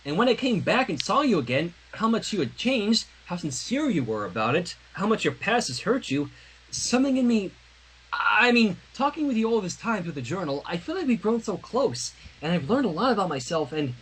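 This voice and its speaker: Nervous young princess, acted by a man: high, husky, wildly swooping delivery, anxious earnest rambling for a fanfiction love confession. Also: big pitch swings, normal speed, a bit bright, full character voice, poor audio. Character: flustered young female pony princess turned human, nervously confessing love. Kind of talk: dramatic fanfiction reading.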